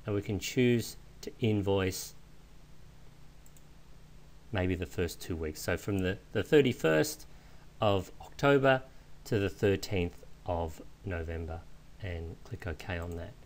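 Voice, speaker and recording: Low-voiced man narrating a software tutorial. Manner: explanatory, informal, step-by-step. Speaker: software instructor. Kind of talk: step-by-step screencast tutorial narration.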